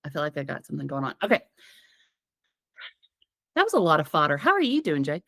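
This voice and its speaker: A woman co-hosting a casual comedy podcast, with a slightly raspy voice from a sinus infection, rambling and giggling as she tells stories. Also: low-pitched, huge pitch swings, fast, fairly bright tone, dry recording, studio quality. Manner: giggly, rambling, playful storytelling. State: getting over a sinus infection. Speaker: podcast co-host.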